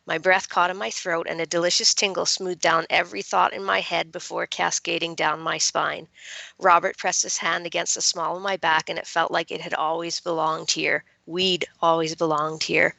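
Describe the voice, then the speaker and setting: A woman reading a young adult novel as its guarded, wry teenage girl narrator, with measured expression. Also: fairly low pitch, level tone, gravelly, pushed and bright, no echo, rough recording. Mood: wistful, resolute.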